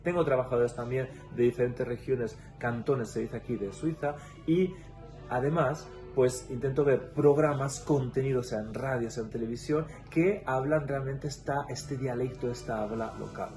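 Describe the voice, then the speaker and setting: A man's voice: mid-range voice, a little mellow, faint music behind. Catalan man explaining at length. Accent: Catalan-influenced Spanish. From Catalonia, Spain. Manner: thoughtful, explains at length with pauses and restarts. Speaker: guest. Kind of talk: casual interview conversation.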